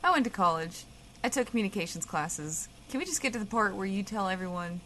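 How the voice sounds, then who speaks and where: A woman's voice: medium pitch, animated, fast, pushed and bright, slight background noise. A woman playing a sardonic, impatient radio co-host in scripted comedy banter. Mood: dry, probing.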